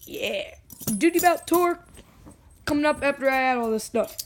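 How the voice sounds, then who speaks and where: A young vlogger with a hoarse, worn voice, chatting and joking casually while unboxing. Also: sits high, expressive, normal speed, slight background noise. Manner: chatty, jokey, self-talking. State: hoarse, lost voice.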